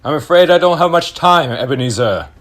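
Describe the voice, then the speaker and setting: A young man playing a stern, ageing Christmas spirit in a school stage play, speaking in slow, solemn pronouncements. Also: on the high side, expressive, fairly bright tone. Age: teens. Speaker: stage actor.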